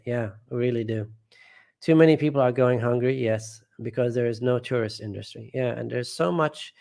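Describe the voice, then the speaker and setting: A man's voice: mid-range voice, gravelly, darkish tone. Rambling man hosting a live chat. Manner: rambling, often trailing off and restarting. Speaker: host of a live stream. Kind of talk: casual live chat, reading viewer comments aloud.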